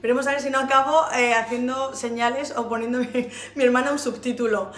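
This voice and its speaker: Hoarse chatty woman in echoey shop. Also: fairly high pitch, steady tone, brisk. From Spain. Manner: chatty and enthusiastic, talks straight to viewers. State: hoarse, losing her voice. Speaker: clothing shop owner presenting new stock. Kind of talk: casual fashion try-on haul. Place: bright indoor shop space by a staircase. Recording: echoey room.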